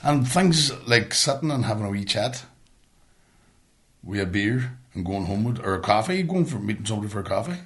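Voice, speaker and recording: A man's voice: on the low side, animated, a little fast, fairly bright tone. A man in his forties with a Northern Irish accent, chatting casually and telling stories in sweary, colloquial talk. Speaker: podcast host. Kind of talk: casual chat.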